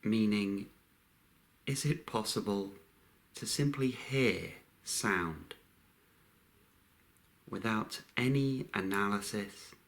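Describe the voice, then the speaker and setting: Slow, low-voiced male meditation guide. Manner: calm, unhurried, long pauses between phrases.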